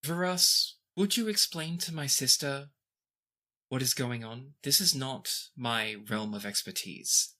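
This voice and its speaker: A man's voice: mid-range voice, a little slow, bright, forceful tone, pitched above the performer's own voice. A man voicing a reserved, bewildered princess who speaks formally and hesitantly in a tabletop game. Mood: taken aback.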